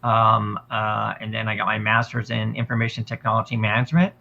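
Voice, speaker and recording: A middle-aged man, a former game designer, speaking haltingly as a stream guest with many fillers and restarts. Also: lowish voice, level tone, creaky, sharp tone. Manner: halting, many fillers and restarts, laughs while telling stories. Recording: dull lo-fi mic.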